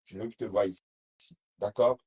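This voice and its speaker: A man with a Cameroonian French accent chats casually on a live stream, replying to viewer comments and restarting his sentences as he goes. His voice sits on the low side. It has a husky, slightly rough edge. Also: fairly even intonation, brisk, warm tone. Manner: reads viewer comments and answers them, rambling with restarts.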